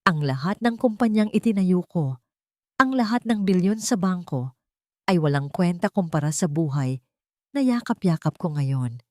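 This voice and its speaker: A woman tells a dramatic story in a slightly low voice. Her delivery is intense and emotive, with scripted narration recorded very dry. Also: expressive, moderate pace, dark tone, no echo.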